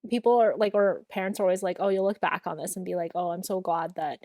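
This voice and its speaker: A young woman co-hosting a podcast, chatting casually with many fillers and dropping low for asides. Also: steady tone, fast talker, darkish tone, no echo, clean studio sound. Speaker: podcast co-host. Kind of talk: casual chat.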